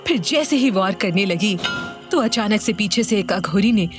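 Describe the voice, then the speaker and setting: A woman's voice: medium pitch, lively intonation, moderate pace. A woman storyteller giving a dramatic scripted narration of a horror story. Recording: music behind the voice.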